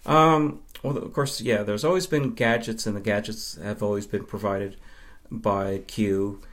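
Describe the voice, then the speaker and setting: A man from Nova Scotia, answering interview questions as a podcast guest in a measured, explanatory way with pauses. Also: fairly low pitch, fairly even intonation, fairly bright tone. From Nova Scotia, Canada. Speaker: podcast guest, film fan.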